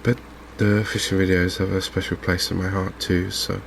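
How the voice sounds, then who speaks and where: A man hosting a gaming video in a softly spoken ASMR commentary style, unhurried and hesitant, musing to himself. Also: deep voice, flat delivery, creaky, a little hiss or hum.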